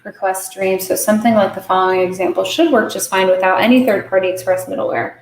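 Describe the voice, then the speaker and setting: A woman hosting a live coding stream, slightly hoarse, talking herself through the code with lots of fillers. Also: mid-range voice, fairly even intonation, quick pace, a little hiss or hum. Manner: thinking aloud while coding, many fillers. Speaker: host. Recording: echoey room.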